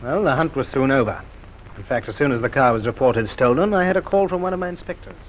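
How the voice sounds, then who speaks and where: Lively, authoritative police superintendent played by a man. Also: mid-range voice, fast talker, close to an everyday voice, poor audio. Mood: brisk, methodical. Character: brisk, methodical male police superintendent telling the case. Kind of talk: old radio crime drama acting and narration. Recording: old radio recording, light hiss.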